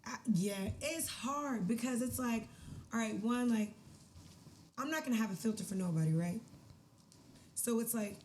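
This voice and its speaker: Chatty woman from the dmv, mellow voice, keeps saying right, laughs a lot, faint hiss. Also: on the high side, moderate pace, long pauses. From United States, DMV area. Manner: outgoing, animated storytelling with frequent "right?"; laughs often. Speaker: podcast guest. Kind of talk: casual chat.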